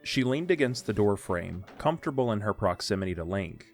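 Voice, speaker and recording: A man narrating a scripted audio drama in a measured, literary storytelling delivery. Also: on the low side, lively intonation, faint music behind.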